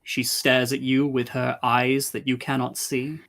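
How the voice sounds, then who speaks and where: A man's voice: medium pitch, flat delivery, a little slow, vocal fry, a bit bright. A man running a tabletop game as game master, casually narrating and thinking aloud.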